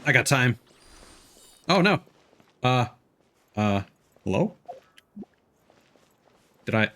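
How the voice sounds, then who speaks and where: A man doing casual, chatty live game commentary, quick to react out loud. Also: mid-range voice, big pitch swings. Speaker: game livestreamer, host.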